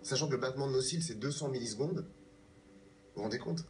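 A man of thirty-five from Paris explaining himself haltingly as a guest in a TV interview. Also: medium pitch. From France, born in Paris. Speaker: guest, pianist and composer. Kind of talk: live TV interview. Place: TV news studio, replayed from a television. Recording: distant, off a TV screen, faint music.

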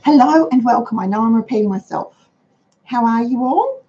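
An older Australian woman with a hoarse, croaky voice, hosting a chatty livestream from her home dining room over a webcam. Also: lively intonation, normal speed, dark tone. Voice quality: chatty, homely manner. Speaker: host of a frugal living livestream. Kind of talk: casual livestream talk. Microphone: webcam on a computer. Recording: dull low-fi webcam sound with faint noise.

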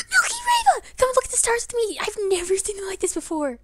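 A woman's voice: very high voice, huge pitch swings, fairly quick, dry recording, pitched above the performer's own voice, full character voice. A woman voicing a small, wide-eyed animal pup, eager and gasping with wonder, for a comic dub. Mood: excited, amazed.

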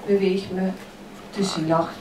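A woman reading her poems slowly and deliberately at a microphone in a crowded old café. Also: fairly low pitch, steady tone, far from the mic, background noise. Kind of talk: poetry reading. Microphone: stand mic, filmed from across the room. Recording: echoey café filmed from afar, loud chatter.

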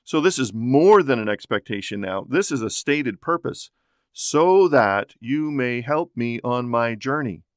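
Guy explaining bible passages podcast style, conversational, mid pitch, really dry recording. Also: lively intonation, measured pace, no echo. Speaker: podcast host, Bible teacher. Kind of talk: Bible teaching talk, expository.

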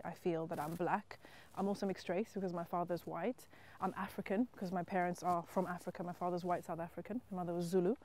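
Earnest woman from Zimbabwe, reflective. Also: lowish voice. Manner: earnest, reflective, emphatic at times. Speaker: writer and entrepreneur. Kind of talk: personal interview monologue. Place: wheat field outdoors.

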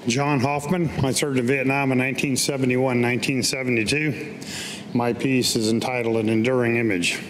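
An older man with an American accent reads his own written recollections from a podium. His voice sits at a middle pitch. The hall adds long reverb, with slight background noise. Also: fairly even intonation, normal speed. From United States. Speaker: veteran reading his own written piece. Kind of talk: staged reading of autobiographical writing. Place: public meeting room, at a podium. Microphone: podium gooseneck microphone.

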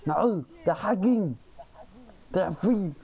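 A creaky-voiced player bantering casually during an online game, exclaiming and repeating things in a mock-pompous way. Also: medium pitch, animated, slow pace, mellow.

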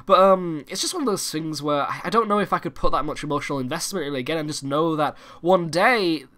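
A young man from the United Kingdom, hoarse and croaky from a cold, hosting a casual gaming-channel vlog and rambling with many fillers and restarts. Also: a little high, fast, a bit bright. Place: home recording room.

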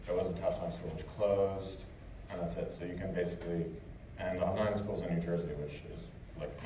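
A man's voice: low voice, dark tone. A man from the United States answering audience questions after a lecture, loose and chatty, with lots of fillers and restarts. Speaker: lecturer and translator. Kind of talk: casual Q&A after a talk. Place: lecture hall. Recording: echoey hall, distant mic, noisy.

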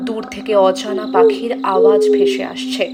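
A woman's voice: medium pitch, very animated, normal speed, loud music behind the voice. A woman narrating a scripted fairy tale as a storyteller.

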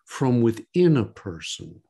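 A man's voice: medium pitch, sing-song, slow, warm tone, breathy edge. A man with a mid-range voice chats as a podcast co-host. His delivery is casual and folksy, and he likes to repeat short phrases to drive them home. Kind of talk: casual, conversational discussion.

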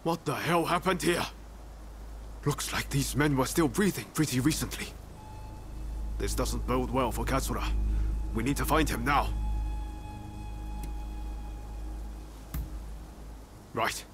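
An actor playing a determined, idealistic young samurai in a video game cutscene, speaking in an earnest, conversational and persuasive way. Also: male voice, mid-range voice, normal speed, long pauses, a bit bright, a little hiss or hum, lightly acted. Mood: concerned, urgent.

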